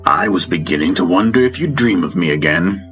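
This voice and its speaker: A man's voice: deep voice, lively intonation, fairly quick, fairly bright tone, highs cut off, soft background music. A man voicing a wise, teasing and stern spiritual master in a fantasy audiobook, chiding in a theatrical way. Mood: amused, welcoming.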